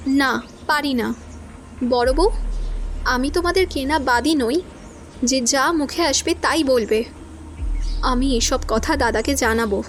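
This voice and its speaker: A woman's voice: high-pitched, a little hiss or hum. A woman playing a worn-down young widow. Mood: defiant. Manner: halting, then firm and resentful. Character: young widow, meek but defiant when pushed. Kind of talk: Bengali audio drama acting.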